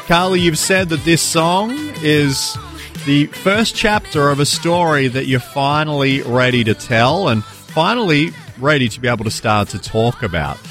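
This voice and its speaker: A man hosting a radio interview, asking measured, polished questions. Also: fairly high pitch, expressive, a bit bright, faint music behind. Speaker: radio host.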